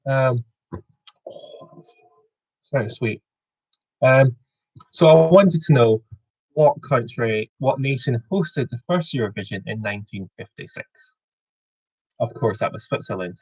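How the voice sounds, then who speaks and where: A young Scottish man hosting a quiz over a video call, often stopping mid-sentence to correct himself and throwing in jokes. His voice sits at an everyday middle pitch, and the audio sounds dull and slightly lo-fi. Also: expressive, measured pace, long pauses, darkish tone, slightly rough. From Scotland. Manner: rambling, self-correcting, jokey. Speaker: quiz host. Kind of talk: casual online quiz hosting.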